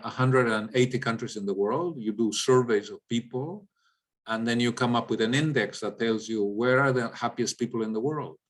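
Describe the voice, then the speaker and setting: A man from Latin America, an economist giving an informal explanatory lecture and often checking in with listeners. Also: medium pitch. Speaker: economist, guest speaker. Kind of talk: informal lecture with audience questions.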